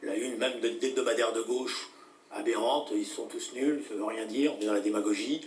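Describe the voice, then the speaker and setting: A middle-aged man, a political guest on a talk show, speaking deliberately with many hesitations and fillers. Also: mid-range voice, steady tone, echoey room, recorded from a distance, a little hiss or hum, poor audio.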